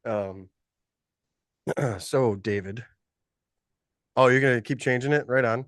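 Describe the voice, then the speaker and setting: A man's voice: medium pitch, takes it slowly, fairly bright tone, dry recording, studio quality. A man with a cold and a sniffly voice, hosting a casual live podcast over a video call, rambling and laughing. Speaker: podcast host.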